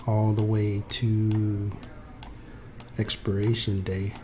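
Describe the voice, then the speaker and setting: A man with a cold-roughened, hoarse voice explaining an options trade in a halting way, full of fillers and restarts. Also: on the low side, completely flat delivery, noisy background. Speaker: trading tutorial presenter. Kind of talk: unscripted screen-share explanation.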